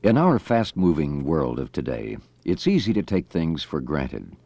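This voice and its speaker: Deep, gravelly male announcer, richly inflected. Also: very animated, unhurried, mellow, dry recording. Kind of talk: formal scripted public-information narration.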